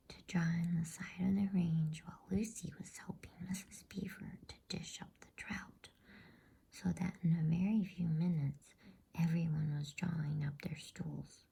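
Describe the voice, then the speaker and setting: A woman storyteller reading a bedtime story in ASMR style with a soft, hushed whisper. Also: fairly low pitch, gravelly, husky. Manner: soft whispering, soothing hush.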